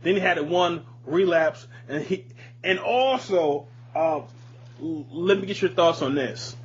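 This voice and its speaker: A man's voice: fairly high pitch, measured pace, husky. A man co-hosting a podcast, chatting casually with blunt, profane opinions. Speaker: podcast co-host. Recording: dull lo-fi audio with hum and noise.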